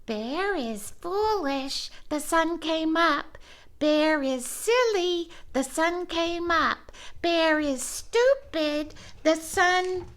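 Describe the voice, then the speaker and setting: A woman's voice: extremely high pitch, lively intonation, very slow, fairly bright tone, pitched above the performer's own voice, exaggerated voice. A woman voicing a cheeky little male squirrel who chirps and taunts in a sing-song way. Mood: chirpy taunting.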